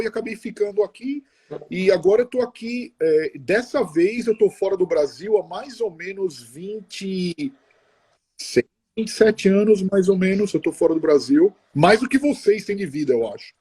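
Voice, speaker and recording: Mellow husky middle-aged man chatting. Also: sits high. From Brazil. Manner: chatty, halting, many restarts and tag questions. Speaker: interview guest. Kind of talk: casual conversational interview.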